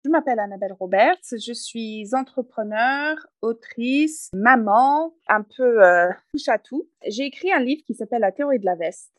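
A woman's voice: fairly high pitch, animated, moderate pace, hardly any pauses, no room sound. A woman from English-speaking Canada with an English Canadian accent, speaking as a guest author in a podcast interview, direct and pragmatic with hesitant fillers.

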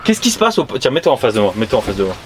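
A man's voice: mid-range voice, very animated, racing. A man hosting a live current-affairs show, chatting in a casual, slangy interview style. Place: live stream from a van in central Paris. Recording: noisy city street ambience.

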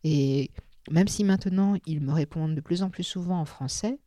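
A woman's voice: on the low side, normal speed, warm tone, dry recording, studio quality. A French woman speaking as a podcast guest, talking casually with frequent hesitations and filler sounds. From France. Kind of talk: casual interview conversation.

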